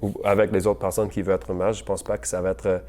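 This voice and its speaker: A young man giving an unscripted campaign message, hesitant and halting, with many fillers and slips into English words. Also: sits low, little pitch movement, darkish tone. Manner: apologetic about his French. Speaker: mayoral candidate.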